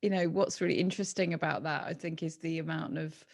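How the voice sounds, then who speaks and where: A woman's voice: sits low, fairly even intonation, fast, creaky. A woman hosting a casual interview, asking long, loosely phrased questions in an informal, conversational way. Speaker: interviewer, podcast host.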